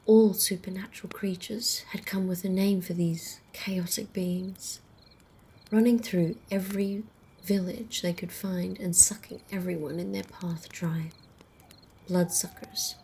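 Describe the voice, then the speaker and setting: A woman playing a wry female vampire, telling a tale in a soft, breathy half-whisper with measured, deliberate pauses, in ASMR roleplay style. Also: fairly low pitch, slight background noise. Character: female vampire storyteller, wry, protective, faintly condescending to humans. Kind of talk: ASMR roleplay, slow hushed campfire storytelling. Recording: faint outdoor night ambience with crickets. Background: crickets and night insect ambience.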